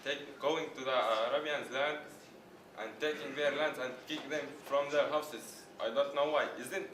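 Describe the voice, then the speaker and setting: A man's voice: fairly high pitch, little pitch movement, normal speed. A man speaks up from the audience in broken English, confrontational and insistent. He is picked up from a distance in an echoey, noisy room. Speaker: debate participant.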